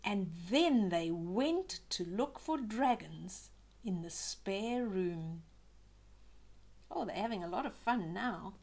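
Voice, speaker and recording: A woman's voice: medium pitch, animated, slow, a little mellow. An older woman reading a children's story aloud, expressively, with chatty asides to young listeners.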